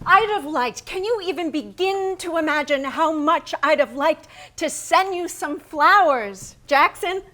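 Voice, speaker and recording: A woman on stage playing a painter's anguished, yearning wife in a theatrical dramatic reading, with deliberate, halting phrasing. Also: sits high, lively intonation, pushed and bright, exaggerated voice. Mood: wistful, yearning.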